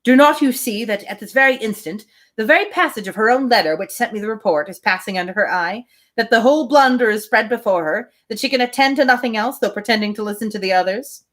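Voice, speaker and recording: A woman voicing a playful young gentleman in a classic novel read aloud, eager and exclamatory as he teases. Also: on the high side, fast talker, lightly acted. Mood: gleeful teasing. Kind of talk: acted dialogue in an audiobook-style reading.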